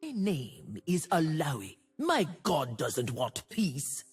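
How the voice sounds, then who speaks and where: Fierce priestess warrior from a video game, super husky, slow n solemn, bossy, bit of music behind. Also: female voice, deep voice, wildly swooping pitch, a little mellow, full character voice. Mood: solemn conviction. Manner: commanding, declarative, solemn. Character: fierce warrior priestess of a harsh sea god. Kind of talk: video game character voice lines.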